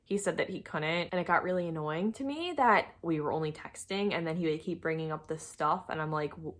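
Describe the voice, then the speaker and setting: A young adult woman tells a personal story in a chatty, informal way. Her voice sits a little low for a woman. She slips into quoted conversations and exasperated asides as she goes. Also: fairly quick. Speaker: storytime video creator.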